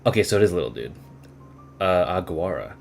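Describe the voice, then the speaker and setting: A man gives casual live commentary as he streams a game, exclaiming and musing aloud. His voice sits low but moves about a lot, with some music behind it. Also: expressive, a little fast, vocal fry, darkish tone. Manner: exclaims and muses aloud to self.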